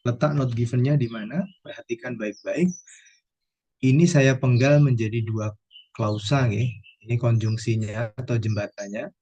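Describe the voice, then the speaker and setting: Man, Indonesian accent, husky, dark-toned, instructional, explanatory, teacher posing and answering questions. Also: medium pitch, steady tone, normal speed, low-quality recording. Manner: didactic, poses questions then answers them. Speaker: university English lecturer. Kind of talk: explanatory lesson, going through quiz answers.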